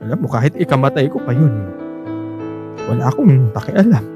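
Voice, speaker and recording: A man's voice: mid-range voice, big pitch swings, fairly quick, muffled recording, close to an everyday voice. A man acting a stubborn Filipino husband over music. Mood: pleading, distressed. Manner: defensive, pleading. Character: stubborn, self-sacrificing working-class husband and father. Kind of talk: Tagalog radio drama acting. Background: musical underscore.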